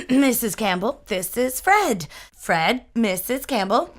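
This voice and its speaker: A woman's voice: on the high side, big pitch swings, slow pace, full character voice. A woman playing a friendly, easily flustered young tenant in an audio drama, with a wry manner. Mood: flustered, nervous.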